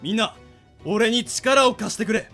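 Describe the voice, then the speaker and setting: A man's voice: high voice, normal speed, over loud music. A man playing an ambitious, theatrical monster boss in a radio drama, rousing his followers like a speechmaker. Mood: rallying. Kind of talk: anime-like radio drama acting.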